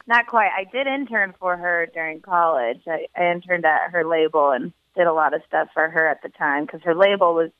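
A woman's voice: lowish voice, normal speed, no room sound. A young woman from Pennsylvania, a singer, chatting casually as an interview guest with lots of laughs and restarts over the phone. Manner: chatty, giggly, many restarts. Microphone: telephone. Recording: tinny phone line.

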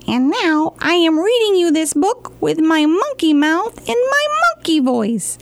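Storybook monkey, silly and boastful, squeaky high voice, put on by a woman. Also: sing-song, unhurried, no room sound, full character voice. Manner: squeaky, bouncy, exaggerated.

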